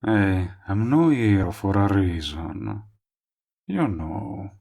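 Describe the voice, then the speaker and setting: A man playing a smug but tender villain in love, speaking intimately to the listener in a romantic audio roleplay, with a low, husky voice. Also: sing-song, warm tone, heavily acted. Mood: wistful, awkward. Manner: slow, murmuring, teasing. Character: lovestruck male villain, smug yet tender, persistent suitor. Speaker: voice actor.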